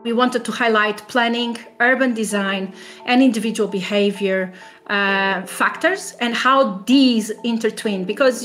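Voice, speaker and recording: A woman's voice: mid-range voice, fairly bright tone. A woman academic being interviewed, explaining in a conversational way with rhetorical questions and frequent "you know" asides.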